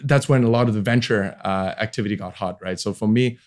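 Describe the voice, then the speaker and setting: A relaxed man in his forties, from Hong Kong, speaking in a fairly low voice. He talks about his career in a loose, conversational way, full of ums and restarts, and laughs easily. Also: fast talker. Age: 40s. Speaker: venture capital founder, guest. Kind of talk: casual interview talk.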